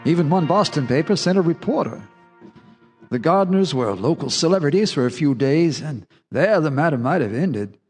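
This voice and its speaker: A crotchety old farmer telling a grim tale for radio drama. His voice is fairly high and husky, gruff and halting, ringing in a long reverb with music underneath. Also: animated, darkish tone. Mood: grim, reminiscing. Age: older. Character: crotchety old farmer recalling a dark past.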